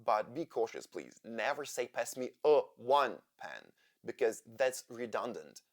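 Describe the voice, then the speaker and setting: A man, explaining English grammar in a slow, deliberate scripted lesson. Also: medium pitch, sing-song. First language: Ukrainian. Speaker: English teacher.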